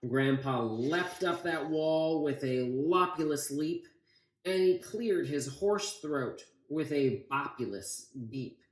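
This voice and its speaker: A man's voice: on the high side, expressive, takes it slowly. A man with an American accent reading a storybook aloud and talking informally to listeners. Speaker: city council member reading to children. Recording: echoey room.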